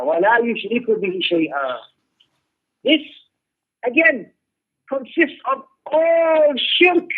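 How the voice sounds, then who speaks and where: A high-pitched man gives a religious lecture over a narrow, telephone-like recording. He explains emphatically, circling back to repeat phrases and putting rhetorical questions to his listeners. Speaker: religious teacher giving a class. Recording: muffled narrow-band radio-like sound.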